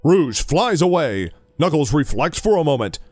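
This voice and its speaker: A man's voice: on the high side, sing-song, normal speed, a little mellow, no echo, soft background music. A man reading scripted narration for an audio drama.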